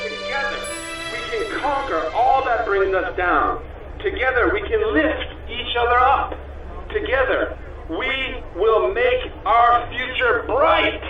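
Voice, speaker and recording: An actor playing an exuberant, grandiose newly elected politician in an audio drama, with an excitable, speechifying manner. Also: male voice, very high voice, takes it slowly, music in the background, full character voice, rough recording. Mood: rousing.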